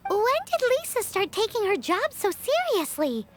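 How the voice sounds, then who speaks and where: Squeaky, extremely high little fairy. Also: female voice, big pitch swings, normal speed, slight background noise. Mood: alarmed, blunt. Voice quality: squeaky, childlike. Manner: speaks of herself in third person, blunt. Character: tiny childlike female fairy companion, cheeky and squeaky. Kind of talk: anime video game voice acting. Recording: game dialogue over children's-style music.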